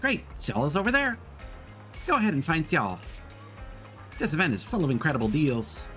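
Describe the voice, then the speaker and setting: Guy doing a tiny eager game store critter, high chirpy voice, pitching deals, music playing. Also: wildly swooping pitch, normal speed, pushed and bright, full character voice.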